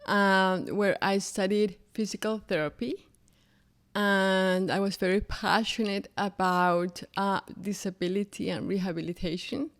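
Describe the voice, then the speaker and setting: A middle-aged woman from Colombia, a native Spanish speaker, answers interview questions at an unhurried pace. She talks thoughtfully, pausing with fillers as she gathers her words, her pitch sitting in an ordinary middle range. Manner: thoughtful, with frequent pauses and fillers. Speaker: guest, business founder. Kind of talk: podcast interview.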